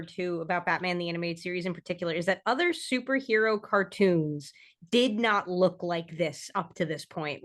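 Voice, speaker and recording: A woman's voice: mid-range voice, a bit bright. A woman co-hosting a casual discussion podcast, talking emphatically and repeating phrases for stress. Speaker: podcast co-host.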